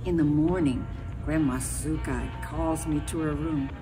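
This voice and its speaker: A middle-aged woman narrating a storybook in an expressive, dramatic, emphatic way. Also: low-pitched, a little slow. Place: large indoor market hall. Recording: echoey hall, music behind.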